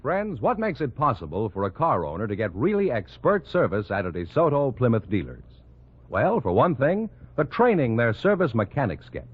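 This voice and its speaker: A man's voice: medium pitch, huge pitch swings, normal speed, slightly rough. An American man reading scripted announcements and sponsor copy in 1940s-50s radio style. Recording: dull vintage radio broadcast recording.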